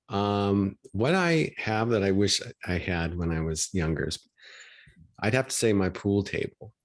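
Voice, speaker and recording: A middle-aged man from Washington State telling a story in a matter-of-fact, chatty way on a video call. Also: sits low. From Washington State, USA.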